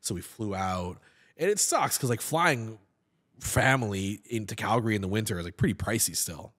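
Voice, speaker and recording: A man in his thirties chats as a podcast guest, his voice sitting a little low. He talks in an animated way, tripping over repeated words and restarting his sentences. The sound is close and clean, from a studio mic on a boom stand. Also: normal speed. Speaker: podcast guest, actor and comedian. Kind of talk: casual interview chat.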